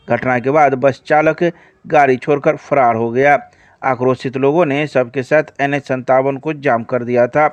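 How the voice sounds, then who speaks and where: A man's voice: mid-range voice, dry recording. A man reading scripted local news reports as a news reader.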